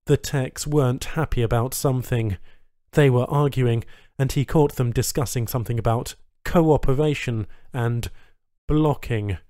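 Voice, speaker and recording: A man's voice: mid-range voice, a little slow, warm tone, dry recording. A man narrating a scripted story as an audiobook reader, recorded close to the microphone.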